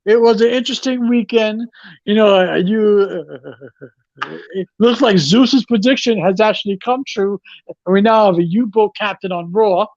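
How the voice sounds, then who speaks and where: A man's voice: high-pitched, moderate pace, darkish tone, no room sound, highs cut off. A man co-hosting a casual wrestling podcast, rambling and sarcastic, with frequent restarts. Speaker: podcast co-host.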